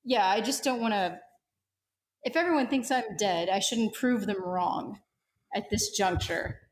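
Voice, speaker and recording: A defiant female rebel in a tabletop space adventure, played by a woman, mid-pitched and blunt. She is hard-drinking and snarky, talking plainly to her crew. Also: measured pace, a little hiss or hum, natural voice. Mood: serious, resolved.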